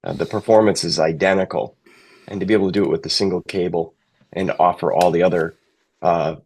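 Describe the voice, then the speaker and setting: A man's voice: deep voice, fairly even intonation, a little fast, darkish tone, husky. A middle-aged man, giving conversational interview answers as a technical sales manager.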